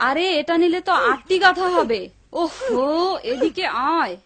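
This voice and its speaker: An actress playing an anxious, fretful wife in a cartoon folk tale, with dramatic delivery. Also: female voice, very high voice, lively intonation, a little slow, fairly bright tone, heavily acted. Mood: pushy.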